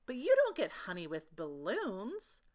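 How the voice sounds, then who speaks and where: A woman's voice: mid-range voice, huge pitch swings, measured pace, pitched above the performer's own voice. A woman voicing a curious, wide-eyed young boy in a children's storybook, with a questioning, wondering manner.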